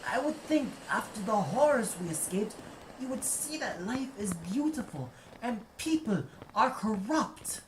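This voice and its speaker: A man's voice: sits high, animated, measured pace, reverberant, noisy background, voice pushed up. A man voicing a fierce, scolding old woman in an audio play, indignant and chastising. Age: older.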